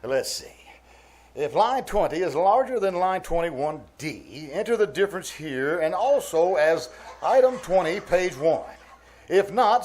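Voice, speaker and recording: A man's voice: sits high, darkish tone. A man playing a pompous, easily flustered middle-aged uncle in 1940s radio comedy style, muttering and sputtering. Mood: muttering, befuddled.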